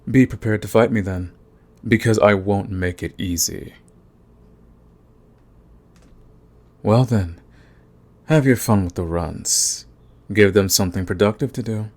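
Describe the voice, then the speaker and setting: A man's voice: on the low side, moderate pace, a little mellow, lightly acted. A man playing a smug, flirtatious villain who is fond of the enemy, in an intimate ASMR romance roleplay with taunting, drawling menace. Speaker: audio roleplay voice actor.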